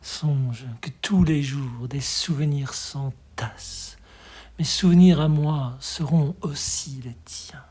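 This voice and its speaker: A hushed, breathy voice giving an expressive, tender recitation of poetry in a measured way. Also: medium pitch. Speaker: poetry reader.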